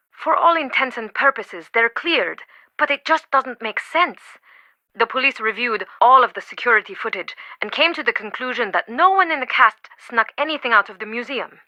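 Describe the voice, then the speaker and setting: A devoted, easily affronted woman who runs a museum in a video game. Her voice is strikingly bright and crisp-edged, set fairly high, and recorded dry and close. She phrases deliberately and emphatically, with earnest dramatic weight. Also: no echo, rough recording.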